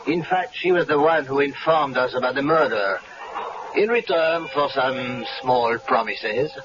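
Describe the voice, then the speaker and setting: An actor playing a polite police lieutenant who speaks in a formal, courteous way, on a dull old radio recording with hiss. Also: male voice, mid-range voice, lively intonation, unhurried, lightly acted.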